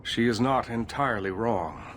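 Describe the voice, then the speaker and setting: An actor playing a grave, weary older leader of mages in a video game, speaking in a measured, formal way. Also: male voice, medium pitch, a bit bright, slight background noise, lightly acted. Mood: grave concern. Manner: measured, formal, careful diction. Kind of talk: dramatic video game dialogue.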